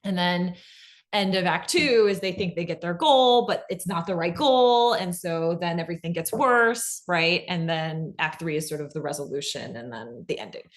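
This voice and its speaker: Low voiced woman explaining stuff casually, lots of like and right?. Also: moderate pace. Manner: conversational, peppered with "like" and "right?" check-ins. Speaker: co-presenter of a fiction podcast pitching webinar. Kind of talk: informal instructional talk.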